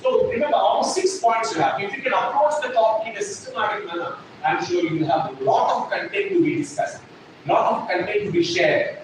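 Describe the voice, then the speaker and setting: A man's voice: high-pitched, expressive, a little fast. A man, lecturing a classroom as a trainer, emphatic and repeating his points while checking whether the class follows. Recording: echoey classroom, distant mic, noisy.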